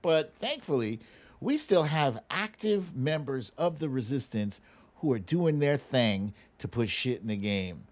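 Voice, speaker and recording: Slow, animated man hosting political commentary. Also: medium pitch. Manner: emphatic, slangy, swearing, with dramatic pauses. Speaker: host of a political commentary show. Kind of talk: casual, comic political storytelling and commentary.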